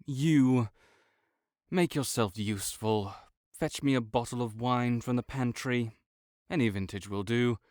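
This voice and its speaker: A man's voice: medium pitch, measured pace, a little mellow, dry recording, studio quality, lightly acted. A man playing a proud, sardonic invalid nobleman in an audio roleplay, speaking with disdainful, formal, old-fashioned diction. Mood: curt, suspicious. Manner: haughty, archaic formal diction, disdainful drawl. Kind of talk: scripted audio roleplay monologue.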